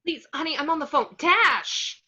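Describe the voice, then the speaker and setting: A woman's voice: high voice, animated, normal speed, pushed and bright, lo-fi sound, exaggerated voice. A woman playing an anxious, take-charge superhero mother in an amateur online table read. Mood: exasperated, scolding.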